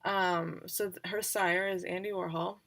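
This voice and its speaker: Woman game master doing a casual livestream recap, creaky fry, unhurried, kinda halting as she thinks out loud. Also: fairly low pitch, fairly even intonation. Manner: informal, halting, thinking aloud. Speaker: game master introducing player characters.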